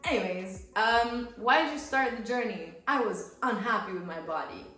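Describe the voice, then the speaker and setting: A woman's voice: a little high, moderate pace, a bit bright. A young American woman, raised speaking Romanian, chatting and laughing through fan questions in a vlog. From United States. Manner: bubbly, chatty, laughs often. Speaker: fitness creator. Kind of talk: casual Q&A vlog. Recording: echoey room with music from a distance.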